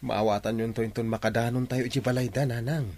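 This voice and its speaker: A man's voice: mid-range voice, steady tone, fast, darkish tone, dry recording, natural voice. A Filipino man acting an earnest, tender young suitor in an Ilocano radio drama, speaking insistently. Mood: determined. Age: young adult.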